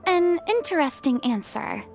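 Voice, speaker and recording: An actress playing a proud, pensive young stage star with grand, formal, theatrical phrasing in a video game. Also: female voice, high-pitched, big pitch swings, a little slow, creaky, soft background music, full character voice. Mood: lofty, reflective. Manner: grandiloquent, formal, monologue-like phrasing with French touches. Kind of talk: dramatic video game voice acting.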